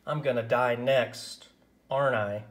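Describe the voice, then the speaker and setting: A man voicing a sick young girl in a picture book, soft, dreamy and wistful. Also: medium pitch, steady tone, a little slow, natural voice. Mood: sad, worried.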